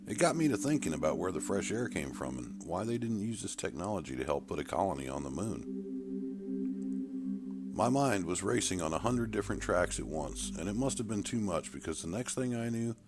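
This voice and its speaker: Deep-voiced man narrating a story, lively pitch. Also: fairly quick, loud music behind the voice. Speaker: author-narrator. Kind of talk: first-person short story narration. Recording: clear background noise, ambience.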